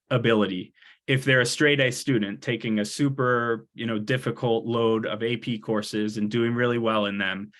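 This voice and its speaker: Man, webinar presenter, earnest, explanatory. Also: fairly low pitch. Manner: earnest, explains with examples and analogies. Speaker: test prep presenter.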